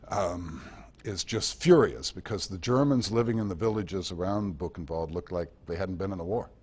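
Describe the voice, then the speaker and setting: A man giving an informal history talk at a club meeting, rambling conversationally through anecdotes with wry asides. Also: low-pitched.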